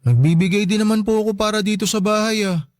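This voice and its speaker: A man's voice: high voice, quick pace, dry recording, clean studio sound, lightly acted. A man playing a meek, polite young working son in a radio drama, shy and submissive in manner. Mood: meek, patient. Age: young adult. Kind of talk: Filipino radio drama acting.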